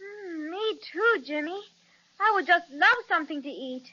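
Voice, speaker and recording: A dull old radio recording carries a sweet little girl. Her very high voice lilts widely, polite and eager, exclaiming in the style of a children's serial. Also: lively intonation, normal speed, sharp tone, heavily acted.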